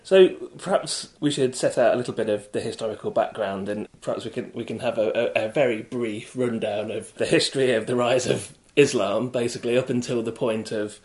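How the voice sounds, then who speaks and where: A man hosting an interview, asking polite, measured questions. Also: medium pitch, a little mellow. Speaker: interviewer, host.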